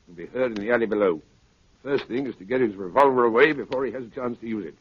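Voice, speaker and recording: An actor playing a cold, commanding criminal mastermind in 1930s radio style, deliberate and menacingly polite. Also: male voice, mid-range voice, breathy edge, close to an everyday voice. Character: cold commanding male criminal mastermind, giving orders to henchmen. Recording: noisy, muffled 1930s radio recording with clicks.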